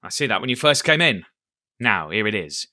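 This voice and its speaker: A man voicing a blustering, cunning old one-legged pirate cook who shouts in salty sailor talk. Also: lowish voice, big pitch swings, normal speed, no echo, studio quality, heavily acted. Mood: jovial.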